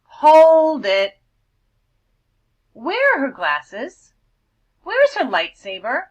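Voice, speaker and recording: A woman voicing a loud, high, scornful flock of nerdy cartoon birds in a storybook reading. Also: huge pitch swings, very slow, lo-fi sound, heavily acted.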